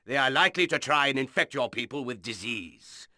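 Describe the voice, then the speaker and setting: An actor playing a stern noble military ally, giving earnest, formal orders with authority in a video game briefing. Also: male voice, medium pitch, lively intonation, fairly quick, sharp tone, dry recording, studio quality. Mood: cautioning. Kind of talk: video game mission briefing, dramatic acting.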